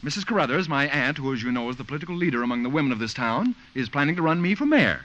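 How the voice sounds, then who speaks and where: An actor playing a pompous, irascible young station manager with an American accent, scolding emphatically in 1950s radio sitcom style. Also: male voice, medium pitch, animated, very fast, a bit bright, natural voice. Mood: scolding, smug. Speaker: supporting actor. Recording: dull, noisy old radio recording.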